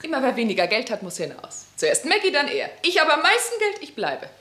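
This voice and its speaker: Mocking rich lady, radio play, high lively voice, soft to shrill, defiant. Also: fairly quick, background noise.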